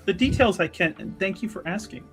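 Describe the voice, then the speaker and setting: A man's voice: high voice, lively intonation, fairly quick, a bit bright, soft background music, voice pushed up. A man playing a polite, diplomatic female investigator in a tabletop roleplaying game, speaking in careful, formal, hedging phrases.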